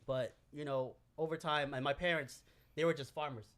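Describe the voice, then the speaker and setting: A man's voice: a little high, fairly even intonation, normal speed, fairly bright tone. Laid-back guy from Hawaii, podcast guest in easy chat. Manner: laid-back, peppers speech with "bro". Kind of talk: casual storytelling chat.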